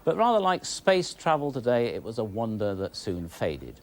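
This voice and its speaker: A man's voice: mid-range voice, very animated, moderate pace. A man with a British accent presenting and explaining things in a documentary style. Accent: British English. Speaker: television presenter.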